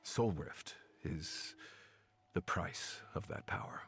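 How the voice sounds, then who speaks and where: A man voicing a grave, knowledgeable guide in a video game, explaining lore in a solemn, measured way. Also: low-pitched, fairly bright tone, slight background noise, exaggerated voice.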